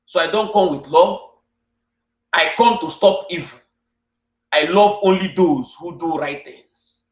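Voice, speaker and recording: A man's voice: a little high, unhurried. A man from Cameroon with a West African accent, speaking in earnest, repetitive declarations as an interviewer. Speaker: interviewer and host. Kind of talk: earnest monologue and interview questions. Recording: distant, echoey room sound.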